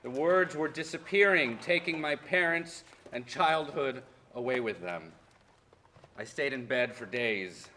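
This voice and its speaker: A mid-pitched man an actor as a wounded professor in a stage monologue. He recalls his childhood with deliberate, reflective storytelling, like someone reliving a loss. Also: sharp tone, a little hiss or hum. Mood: sorrowful, reflective. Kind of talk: dramatic monologue in a staged reading.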